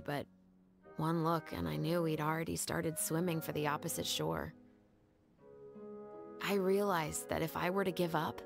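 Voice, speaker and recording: A woman voicing a stoic young patrol captain in a game, speaking in a calm, measured, reserved way. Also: low voice, gravelly. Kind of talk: anime game dub. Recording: game audio under music.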